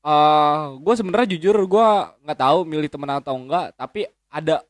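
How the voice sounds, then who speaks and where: A man's voice: on the high side, normal speed, no echo, clean studio sound. A man, chatting as a guest in a rambling, slangy way with lots of swearing and restarts. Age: 20s. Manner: rambling, frequent swearing and self-correcting hesitations. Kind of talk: casual chat.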